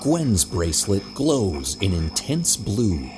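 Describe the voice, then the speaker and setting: A man's voice: deep voice, sing-song, slow pace, background noise. A man reading scripted narration for an audio drama, describing the action as narrator.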